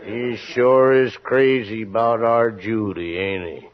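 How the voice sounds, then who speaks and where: A man's voice: on the low side, steady tone, slow, very noisy. An actor playing a grumbling hillbilly farmer father with a dry rural Southern drawl in an old-time radio comedy. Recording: tinny, narrow vintage radio recording.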